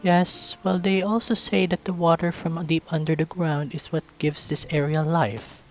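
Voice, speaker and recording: A man voicing a courteous, stately animal king in a visual novel, speaking in a measured, formal manner. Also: fairly high pitch, fairly even intonation, soft background music, pitched above the performer's own voice, close to an everyday voice. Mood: proud, musing. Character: regal anthropomorphic king, courteous, wry, stately.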